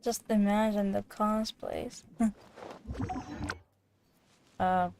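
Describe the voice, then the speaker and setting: Slow, rambling woman streaming a game. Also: mid-range voice, level tone, darkish tone, a little hiss or hum. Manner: giggly, rambling. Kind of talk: casual gameplay chat.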